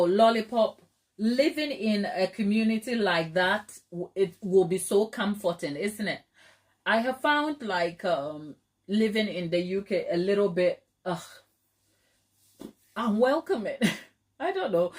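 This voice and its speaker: A woman's voice: mid-range voice, lively intonation, measured pace. A middle-aged woman from Ghana hosting a live stream, chatting casually with viewers, laughing often and using plenty of fillers. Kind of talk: casual chat reading viewer comments.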